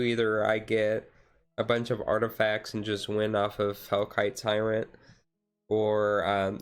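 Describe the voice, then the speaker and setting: Low, even-toned man chatting casually. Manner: halting, rambling, thinking aloud. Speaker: streamer. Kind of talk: relaxed casual chat about a card game, ASMR-style stream. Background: music playing softly behind the voice.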